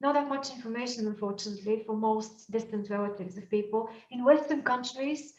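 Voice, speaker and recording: A woman from Bulgaria with a Bulgarian accent, teaching astrology in a thoughtful lecture and often pausing with fillers as she searches for words. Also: fairly high pitch. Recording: echoey room, mic at a distance, dull sound.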